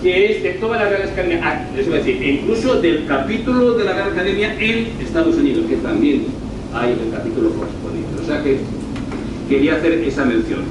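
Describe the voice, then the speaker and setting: A middle-aged man with a northern Spanish accent giving an informal university presentation, deliberate, repeating and rephrasing himself. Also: high-pitched. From northern Spain. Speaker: university lecturer. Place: university lecture room, recorded from afar. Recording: very echoey room, distant mic, clear noise.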